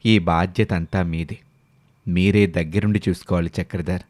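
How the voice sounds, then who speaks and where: A man voicing a grave, trusting village gentleman with a formal, measured manner in a dramatized story. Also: deep voice, fairly even intonation, no echo, pitched below the performer's own voice. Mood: earnest, solemn.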